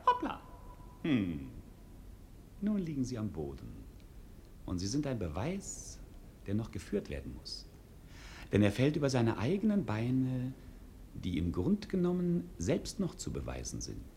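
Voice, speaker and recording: Court fool, impudent, clownish, gluttonous, swooping intonation, comic radio play, slight background noise. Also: male voice, medium pitch, wildly swooping pitch, unhurried, pauses often, darkish tone. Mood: gleeful taunting. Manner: clownish, impudent, sighing and exclaiming. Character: cheeky, gluttonous male court fool, wily and irreverent.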